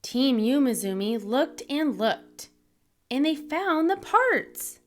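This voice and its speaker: A woman reading a children's story aloud in an expressive, deliberate storytelling manner for young listeners. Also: sits high. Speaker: children's book reader, channel host.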